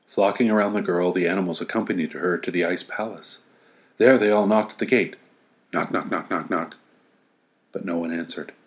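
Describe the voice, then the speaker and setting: Low-voiced, wry male storyteller. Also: moderate pace. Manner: wry, playful. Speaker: story reader. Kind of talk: storytelling narration with wry asides.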